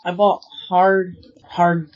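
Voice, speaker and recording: A woman's voice: fairly low pitch, monotone, drawn-out words, darkish tone. A woman talking casually as a player in a tabletop game. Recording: dull lo-fi sound.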